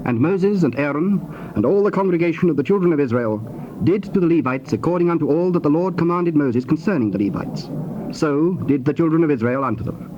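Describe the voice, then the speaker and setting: A man narrating dramatized scripture in a formal, measured manner. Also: fairly high pitch, lively intonation, mellow. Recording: dull, noisy cassette tape.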